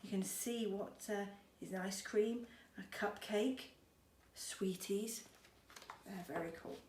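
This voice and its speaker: A woman's voice: mid-range voice, takes it slowly, darkish tone. A woman schoolteacher reading a storybook to children expressively, with chatty, encouraging asides. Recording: roomy echo, faint background noise.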